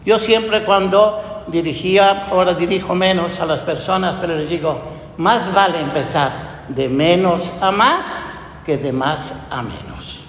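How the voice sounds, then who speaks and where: Slow-preaching priest in an echoey church. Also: male voice, fairly bright tone. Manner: slow, earnest preaching with rhetorical questions. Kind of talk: homily after a Gospel reading. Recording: echoey church hall with low rumble.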